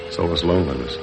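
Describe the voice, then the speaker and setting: An American actor playing a disillusioned, stern Hollywood big shot in 1950s radio drama, with a sardonic way of talking. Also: male voice, deep voice. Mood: wistful, sardonic. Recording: noisy vintage 1950s radio recording.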